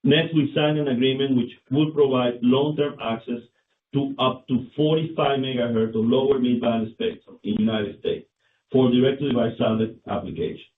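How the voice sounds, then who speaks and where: A male company executive reading scripted financial results on an earnings call in a formal, somewhat halting manner. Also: mid-range voice, steady tone, far from the mic. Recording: muffled phone line, roomy, clicks, noise.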